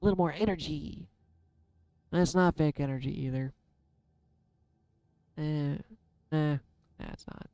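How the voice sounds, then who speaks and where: A soft-spoken man musing aloud in a candid, self-deprecating way as he gives a casual tarot reading. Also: on the high side, measured pace, long pauses, no echo. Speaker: tarot reader. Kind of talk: casual reflective vlog.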